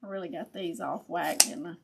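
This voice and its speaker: A woman with a raspy voice, chatting casually with viewers as she hosts a live craft tutorial. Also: lowish voice, fairly even intonation, fairly quick, heavy background noise. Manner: chatty, laughs often, talks to viewers as y'all.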